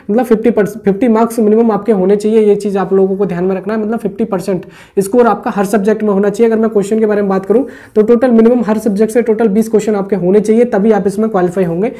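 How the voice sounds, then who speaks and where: Fast-talking man explaining exam updates. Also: sits high, mellow. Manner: repetitive, with frequent check-in tags. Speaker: exam-update YouTube host. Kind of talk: informal explanatory exam briefing.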